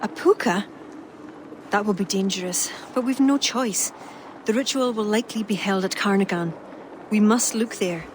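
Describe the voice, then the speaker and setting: An actress playing a wise, composed female ally, calm and explanatory. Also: mid-range voice, moderate pace, lightly acted. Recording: game audio with ambient noise.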